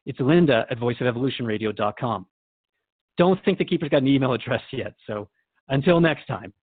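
A man's voice: medium pitch, animated, fairly quick, phone-quality sound, close to an everyday voice. A man playing a curious, respectful radio host in a radio drama, interviewing in an inquisitive, conversational way. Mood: gracious, wrapping up.

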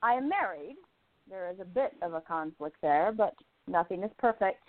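A woman's voice: lowish voice, expressive, normal speed, no room sound, lightly acted. A woman playing a spirited, independent young journalist in an amateur radio play, speaking earnestly and with feeling. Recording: tinny phone-like sound.

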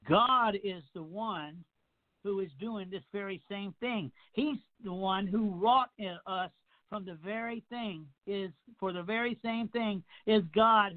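A man in his sixties preaches with a fairly high voice, piling up repeated phrases and firing off rhetorical questions at his listeners. It sounds like a narrow, tinny phone line. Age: 60s. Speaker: pastor. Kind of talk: radio sermon.

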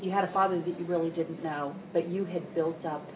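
A woman's voice: lowish voice, fairly even intonation, brisk. A woman hosting an interview, asking questions and encouraging her guest with frequent brief responses. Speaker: interview host. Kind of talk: casual interview talk. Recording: distant, tinny phone line with hiss.

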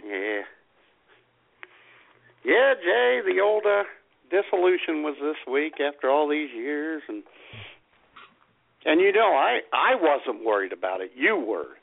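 Gravelly middle-aged man with swooping pitch. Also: very animated, slow pace, long pauses. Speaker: comedy radio show co-host. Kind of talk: casual comedic banter. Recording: tinny, phone-like band-limited audio.

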